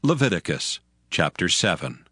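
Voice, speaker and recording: Low-voiced male scripture narrator. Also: big pitch swings, moderate pace, bright, forceful tone. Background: background music.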